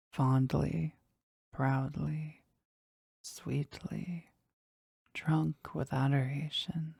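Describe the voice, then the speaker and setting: A woman playing a powerful, jealous demon devoted to their master in an intimate audio roleplay, speaking deliberately and intensely in a husky, breathy voice. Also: mellow, dry recording, clean studio sound, exaggerated voice. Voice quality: husky, breathy, low creaky voice. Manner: slow, deliberate, intense, dramatic.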